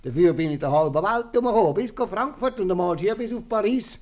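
A folksy, boastful tradesman holding forth in a 1950s radio comedy. His voice is slightly high, with a Swiss accent and a jovial, teasing manner. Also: expressive, fast talker, darkish tone, muffled recording.

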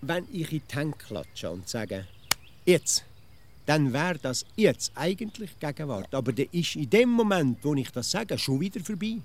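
A man's voice: a little high, big pitch swings, a little slow, slight background noise. An actor playing a stubborn, grumbling provincial Swiss amateur philosopher in a comic radio play, with a Swiss accent in his German. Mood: insistent. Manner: pedantic, grumbling, emphatic.

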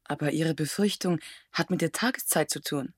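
An actress dubbing a playful young woman with a husky voice, answering with teasing quips. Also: sits low, no echo. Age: young adult. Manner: teasing, quick replies. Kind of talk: film dub.

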